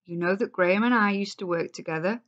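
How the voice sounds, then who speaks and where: Woman reader as thoughtful male scientist, gentle and earnest, storybook. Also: mid-range voice, fast, dull audio, close to an everyday voice.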